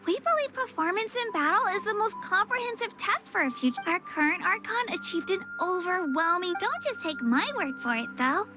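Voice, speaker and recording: A woman's voice: very high-pitched, very animated, moderate pace, hardly any pauses. A young woman playing an upbeat, helpful girl guide in a video game, speaking in a friendly, chatty, eagerly explaining way. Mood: earnest, explaining. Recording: music behind the voice. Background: game music.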